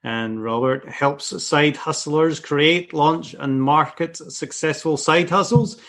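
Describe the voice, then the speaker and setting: Scottish-accented man, podcast host, slightly raised pitch, casual easygoing questions. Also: slow pace, fairly bright tone. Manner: easygoing, uses fillers.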